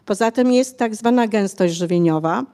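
A woman's voice: medium pitch, normal speed, mellow. An older woman, an author being interviewed on a bookshop stage, explaining at length with many hesitations, speaking into a handheld mic. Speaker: author guest. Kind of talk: conversational interview. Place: bookshop cafe stage, indoors.

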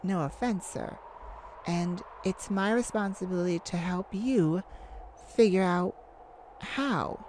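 A woman's voice: mid-range voice, a little mellow, slight background noise. A woman voicing a courteous, easily flustered, ageless celestial afterlife caseworker in an ASMR roleplay, hesitant and apologetic. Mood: gentle, official. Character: polite, flustered, thousands-year-old celestial afterlife caseworker.

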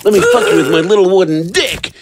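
A man's voice: high voice, huge pitch swings, quick pace, creaky, a little hiss or hum, full character voice. A man playing a sardonic, crude henchman with a sarcastic drawl and a cruel streak, in an audio drama. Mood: gloating.

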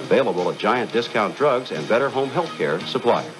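Lively male commercial announcer over music. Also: mid-range voice, fairly quick, rough recording. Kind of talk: scripted radio advertisement.

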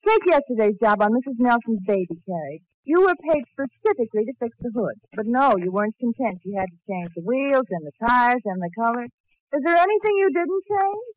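A high-voiced, animated young sweetheart. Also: female voice, fairly quick, low-quality recording. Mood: scolding. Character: affectionate but scolding, coaxing young sweetheart. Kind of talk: 1940s radio comedy acting. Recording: old 1940s radio recording.

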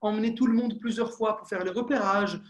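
A man's voice: sits high, fairly even intonation, fairly quick. A young man from France, a film director, talking about his work on a video call with many fillers and restarts, using an earphone mic in a small room at home. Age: young adult.

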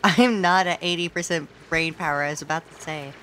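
A woman streaming a game with casual, playful commentary, laughing often, her voice a little hoarse and sore. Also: low voice, fairly quick, bright, forceful tone, a little hiss or hum. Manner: giggly, playful, exclaims at the game.

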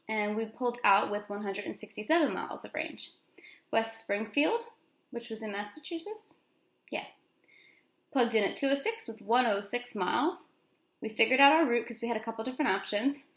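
A middle-aged American woman talking casually to camera in a conversational vlog style, with informal asides, recorded in a room at home. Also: fairly high pitch. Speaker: vlogger. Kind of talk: casual vlog recounting from notes. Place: small room at home, indoors. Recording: echoey room.

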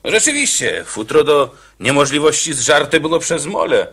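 A cheerful condemned killer in a radio drama. His voice sits fairly high and swings freely in pitch. He chats with cocky, wry ease about death. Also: very animated, normal speed, gravelly, a bit bright, heavily acted. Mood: chatty, cheeky. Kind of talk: radio play acting.

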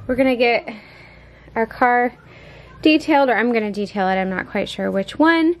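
A young American woman talks casually to her viewers as she potters around the house. Her voice sits fairly high, and she wanders from thought to thought informally. The handheld camera picks up clear background noise. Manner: informal, meandering, thinking aloud while tidying. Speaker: vlogger, mother preparing for a baby. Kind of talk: casual vlog chat to viewers. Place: inside a home, moving between rooms. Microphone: handheld camera mic.

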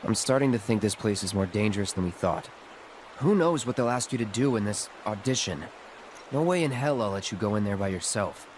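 A man's voice: on the low side, lively intonation, a little fast, slight background noise, close to an everyday voice. An actor playing a guarded, terse young mercenary swordsman who is awkwardly protective, in a video game cutscene. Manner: terse, hesitant, understated.

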